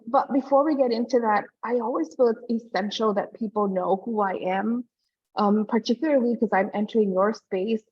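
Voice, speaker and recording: A woman's voice: mid-range voice, lo-fi sound. A woman in her forties from Hawaii giving a personal conference talk over earbuds on a video call, with frequent fillers. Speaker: guest speaker.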